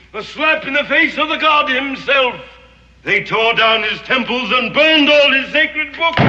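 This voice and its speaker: A man's voice: mid-range voice, full character voice. An actor playing a fanatical Englishman devoted to a sun god, telling a curse story in grand theatrical swings. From England. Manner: theatrical, grandiose storytelling, veering from hush to shouting. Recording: roomy, dull old TV soundtrack with reverb and music.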